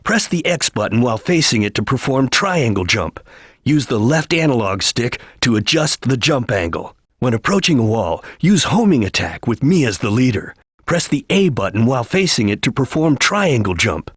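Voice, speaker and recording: A man voicing a stern, disciplined ninja chameleon in a video game, with a solemn, formal, clipped delivery and a husky voice. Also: mid-range voice, big pitch swings, normal speed, fairly bright tone, dry recording, clean studio sound. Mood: measured, instructive. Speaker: video game character giving battle lines and tutorials. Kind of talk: dramatic video game voice acting.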